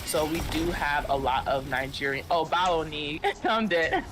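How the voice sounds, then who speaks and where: Man, fairly high pitch, live stream host, upbeat, thanks chatters by name, music in background. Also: unhurried. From United States. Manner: upbeat, thanks viewers by name, frequent ums. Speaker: university esports stream host. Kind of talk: live stream chat, reading viewer comments. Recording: clear music behind voice.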